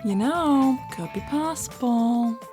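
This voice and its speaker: A woman's voice: fairly high pitch, lively intonation, takes it slowly, warm tone. A woman voicing a flirty, teasing, confident female bat in a cartoon fan dub. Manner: teasing, playful, sly. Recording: background music under voice.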